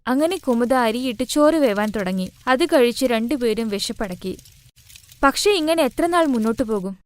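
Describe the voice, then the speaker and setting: A woman's voice: on the high side, lively intonation, moderate pace. A woman narrating a scripted moral story as the storyteller.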